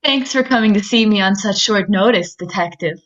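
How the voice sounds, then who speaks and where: A woman's voice: medium pitch, brisk, fairly bright tone, dull audio, natural voice. A woman playing a coy, dangerous femme fatale in a 1940s-style noir radio parody, with theatrical delivery. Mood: coy, menacing.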